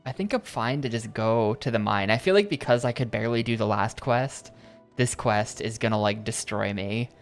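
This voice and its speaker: A man streaming a video game, chatting casually and rambling between reading game text aloud. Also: on the low side. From United States. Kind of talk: casual gameplay commentary.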